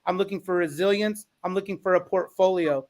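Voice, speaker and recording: A man's voice: high-pitched, fairly quick. A man speaking conversationally as a panelist, making his case in an articulate, persuasive way. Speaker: podcast panelist.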